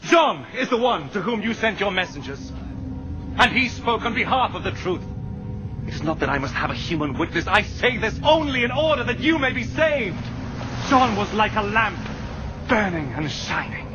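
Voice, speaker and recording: A fervent holy man in a bible movie, high voice, declaiming, muffled audio. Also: very animated, moderate pace, music in the background. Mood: earnest. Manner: declamatory, emphatic, swinging from hushed to shouting. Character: Jesus, fervent male preacher challenging unbelievers. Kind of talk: dramatic film acting of scripture. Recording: muffled film soundtrack with loud noise.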